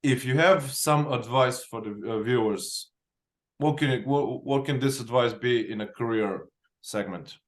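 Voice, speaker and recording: A man hosts a casual podcast interview, speaking bluntly in a loose conversational way. He is a little sick and clears his throat now and then between questions. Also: mid-range voice, a little slow. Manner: blunt, conversational, some grammar slips. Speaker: podcast host.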